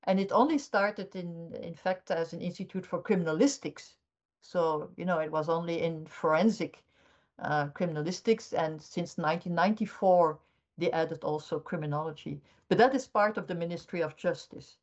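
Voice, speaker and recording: Older Belgian-raised woman academic, lower pitch, measured explainer, muffled lo-fi recording. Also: darkish tone. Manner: measured, explanatory, frequent restarts. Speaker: criminology professor, guest. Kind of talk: academic interview answers. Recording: dull lo-fi audio.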